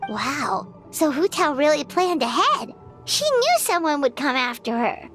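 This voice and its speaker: Squeaky, bubbly little fairy companion. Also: female voice, big pitch swings, measured pace, a bit bright, faint music behind, heavily acted. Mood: impressed. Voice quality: squeaky, childlike. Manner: bubbly, exclamatory, third-person self-reference. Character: small cheerful chatty childlike floating fairy companion. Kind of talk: video game cutscene acting.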